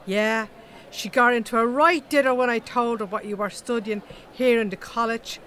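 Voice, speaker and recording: An actress playing a blunt, chatty, gossipy Irish animal-rights activist with an earthy colloquial manner in a comedy audio drama. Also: female voice, fairly high pitch, fairly even intonation, normal speed, fairly bright tone, a little hiss or hum, lightly acted.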